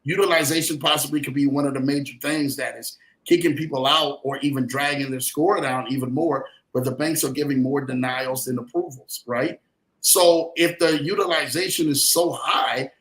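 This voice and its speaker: A man's voice: on the high side, normal speed, fairly bright tone. A man from New Orleans giving motivational podcast talk as a credit coach, emphatic and preacherly, addressing listeners directly. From New Orleans, United States. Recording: roomy, echoing sound.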